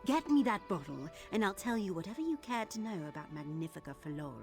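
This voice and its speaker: Grumbling, sardonic servant, lively pitch. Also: female voice, fast talker. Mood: sly, conspiratorial. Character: disgruntled, sardonic palace kitchen servant woman. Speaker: game character actor. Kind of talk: video game character acting. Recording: music behind the voice.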